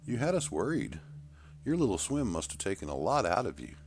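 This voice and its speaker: A man voicing a gentle, caring young woman in a narrated story, composed in manner. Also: sits low, big pitch swings, brisk, pitched above the performer's own voice. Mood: caring, pleading. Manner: soft-hearted, composed.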